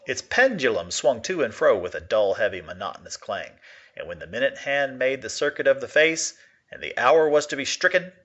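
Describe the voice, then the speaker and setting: A man giving an expressive reading of a gothic short story, now and then stumbling and correcting himself. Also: mid-range voice, muffled recording. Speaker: reader of a classic short story. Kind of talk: dramatic reading of a gothic tale.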